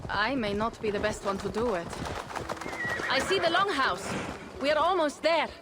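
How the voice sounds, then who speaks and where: A proud young Viking warrior woman from a video game voiced by an actress, with a fairly high voice and an earnest, blunt delivery. She sounds restless, with clear outdoor sound effects behind her. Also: normal speed, very noisy, natural voice. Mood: troubled, restless. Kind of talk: video game cutscene acting.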